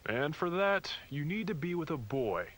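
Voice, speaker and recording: An actor playing a fatherly, patronizing puppet priest who gently preaches to children with a faintly sinister edge. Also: a little high, sing-song, normal speed. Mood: gently stern. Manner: patronizing, instructive. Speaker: pastor to children in a puppet show. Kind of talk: children's religious puppet show parody.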